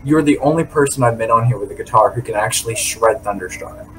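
A man talks eagerly in casual chat, with a middle-range voice. He sounds a little far from the mic, and guitar plays clearly behind him. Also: mid-range voice, fast talker.

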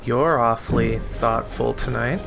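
A man playing a wry, skeptical lighthouse keeper in an audio drama, with dry, old-fashioned gentlemanly manners. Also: medium pitch, steady tone, a little slow, creaky. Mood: thoughtful. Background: music.

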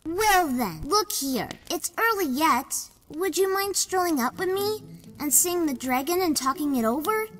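A woman's voice: extremely high pitch, expressive, measured pace, fairly bright tone, full character voice. A woman playing an earnest, outspoken young village boy in an audiobook drama, with chatty, old-fashioned phrasing. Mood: nettled, practical. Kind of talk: amateur audiobook drama acting. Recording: long hall reverb, faint music.

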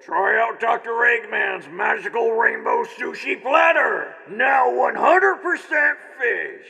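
A bombastic cartoon park announcer with a very high, sharp voice. He proclaims loudly through long reverb, with faint music behind. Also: takes it slowly, gravelly, exaggerated voice. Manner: loud, forceful proclaiming. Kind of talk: public-address announcement in game fan dub.

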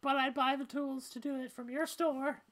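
A woman reading video game dialogue aloud in an expressive, conversational style. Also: sits high, moderate pace. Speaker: game streamer voicing characters.

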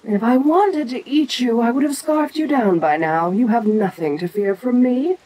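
A woman playing an ancient, domineering giantess kaiju queen in an audio roleplay, imperious and teasing yet protective, speaking with deliberate pauses. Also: fairly high pitch, darkish tone, close to an everyday voice, rough recording. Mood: commanding, reassuring. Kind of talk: second-person audio roleplay acting.

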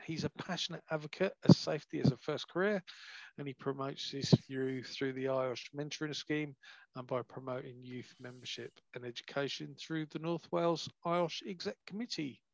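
A man chairs an online meeting, his voice somewhat high with a gravelly edge. He reads out the speaker introductions over a video call. The sound is dull and dry. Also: moderate pace, no echo. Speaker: branch chair and meeting host.

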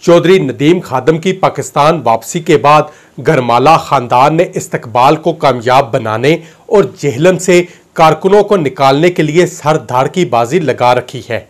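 A man in his thirties delivers political news with a Pakistani Urdu accent. His voice sits a little high, and his reading is formal and declamatory. He speaks into a handheld mic in a small studio. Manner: formal, declamatory, with gesturing hands. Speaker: news presenter. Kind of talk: scripted political news commentary. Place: studio in front of a dark backdrop. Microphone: handheld mic held at chest.

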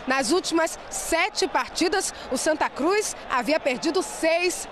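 High-voiced woman narrating a football report. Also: slow, background noise. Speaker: sports reporter. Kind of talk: scripted TV sports report narration. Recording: voice-over over loud music and stadium crowd.

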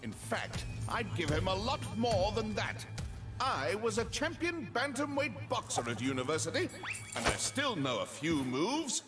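A man's voice: high voice, big pitch swings, a little slow, music in the background. An actor playing a pompous, wealthy male bear official in a video game, boasting and blustering. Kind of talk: video game cutscene acting.

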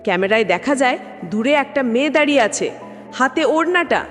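A woman's voice: high voice, lively intonation, fairly quick. A woman reading a scripted ghost story in a dramatic, suspenseful way. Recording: long reverb, clear background music. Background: music.

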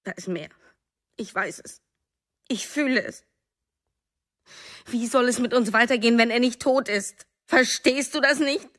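An actress in a radio drama playing a bitter, fearful, demanding wife with an accusing, emphatic manner. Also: female voice, fairly high pitch, measured pace, long pauses, a bit bright. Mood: ominous. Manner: emphatic, accusing, short clipped phrases. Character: embittered, anxious wife, jealous, demanding and fearful.

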